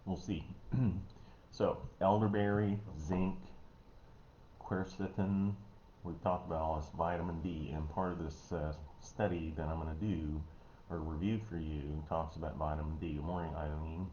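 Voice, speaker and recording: A man with a Missouri American accent, giving a casual health talk online in a folksy, rambling way, often clearing his throat. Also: rumbling low, little pitch movement, moderate pace, warm tone. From Missouri, United States. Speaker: host, health educator. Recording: dull, roomy, noisy home recording.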